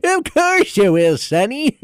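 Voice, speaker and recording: Swooping-voiced, folksy old inventor. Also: male voice, high-pitched, no echo, studio quality, exaggerated voice. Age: older. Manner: folksy, hesitant, cackling old-timer delivery. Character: chuckling, kindly old male gadget inventor.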